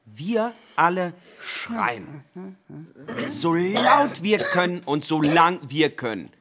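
An actress playing a restless, morbid patient who rouses and needles others in a radio play, theatrical and sardonic. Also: female voice, on the low side, expressive, takes it slowly, heavy background noise. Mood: rousing. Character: restless, morbid ringleader among waiting emergency room patients. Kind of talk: German radio play acting.

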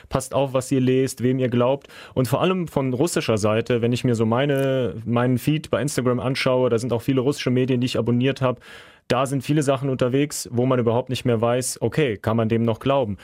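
A man co-hosting a podcast, explaining calmly in a plain, casual way. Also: medium pitch, dry recording, clean studio sound. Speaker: podcast co-host.

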